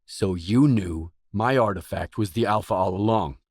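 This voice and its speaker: An actor playing a wary, world-weary stalker, the blunt protagonist of a video game, in terse acted dialogue. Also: male voice, lowish voice, moderate pace, a little mellow, breathy edge, dry recording, studio quality. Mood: probing, wary.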